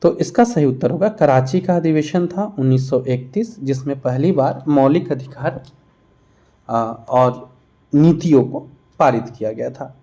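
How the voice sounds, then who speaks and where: A man's voice: medium pitch, measured pace, warm tone. A man teaching an exam revision lesson, reading out questions and explaining each answer. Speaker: teacher for competitive exam preparation.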